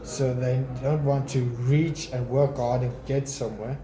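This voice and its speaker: A man's voice: mid-range voice, fairly even intonation, moderate pace, a crowd in the background. A young man from South Africa chatting casually as a customer, hesitant with frequent ums and restarts. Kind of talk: casual chat. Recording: echoey room, faint music.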